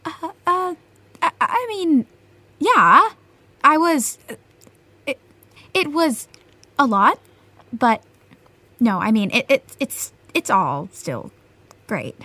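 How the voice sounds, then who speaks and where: A woman's voice: high voice, big pitch swings, slow, pauses often, sharp tone, no room sound, heavily acted. An actress playing a starstruck, anxious teenage girl in an audio drama, stammering and hesitant. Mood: awkward, hesitant. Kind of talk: naturalistic audio drama dialogue.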